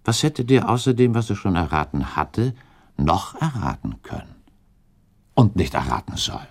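A shrewd gentleman inquisitor in a period radio drama. His voice is low and gravelly, swinging expressively as he builds his case in formal, deliberate phrases. He stays composed and relentless. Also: very animated, full character voice. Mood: grave, musing. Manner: formal, deliberate, insistent questioning. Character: probing gentleman accuser, shrewd, composed, relentless cross-examiner. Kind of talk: German radio play acting, formal period dialogue.

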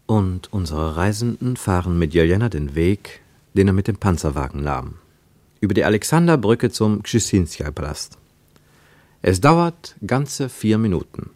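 An actor as a reflective, analytical narrator in a radio play, commenting with deliberate, emphatic phrasing. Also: male voice, deep voice, very animated, a little mellow, no echo. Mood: descriptive. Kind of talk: scripted literary narration and commentary.

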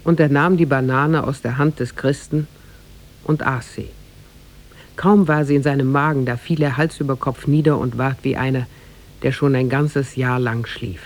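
A man narrating a tale as a formal, measured storyteller in 1950s German radio style. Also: mid-range voice, steady tone.

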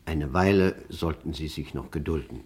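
A family physician in a radio crime drama. His low, slightly rough voice is formal, soothing and measured, with old-fashioned courtesy. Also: darkish tone. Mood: reassuring, courteous. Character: reassuring, formal male family doctor. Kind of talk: German radio crime play acting.

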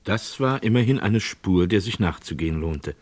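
A man narrating a scripted true-crime radio drama in a measured, matter-of-fact way. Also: on the low side, expressive.

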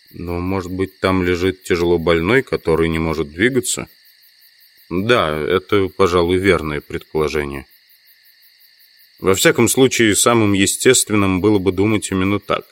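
A proud, educated city scientist in an audio drama. His voice is deep and low. He reasons pedantically, like a skeptic who knows his subject. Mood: musing, wary. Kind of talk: Russian audio drama acting.

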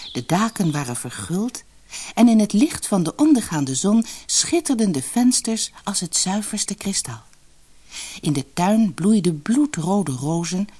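A woman narrating a fairy tale audiobook in an expressive, measured, dramatic storybook style. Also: medium pitch, breathy edge.

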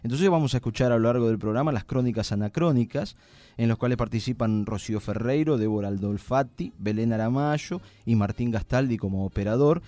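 A man's voice: mid-range voice, fairly quick, warm tone, no echo. Playful Argentine man hosting live radio. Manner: playful, joking. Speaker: radio host.

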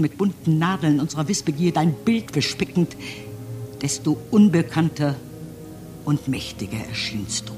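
An anguished man played by an actor delivers a solemn radio play monologue over prominent music. His voice is fairly high for a man and very slow, moving between fervent declamation and hushed, accusing lament. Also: lightly acted. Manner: dramatic declamation falling into hushed whispers. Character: anguished male speaker reciting a fervent accusatory address to mankind.

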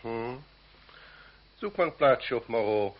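Pompous marquis, swooping intonation. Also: male voice, mid-range voice, expressive, slow. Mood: coolly condescending. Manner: pompous, ceremonious. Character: stately, coldly courteous aristocratic marquis, condescending. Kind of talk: Dutch radio play acting. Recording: muffled old radio play recording.

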